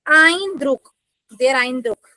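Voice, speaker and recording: Female language teacher, Indian accent, high pitch, dry close recording, prompting style. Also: expressive, takes it slowly, bright, forceful tone, dry recording, rough recording. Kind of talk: teaching an online group class.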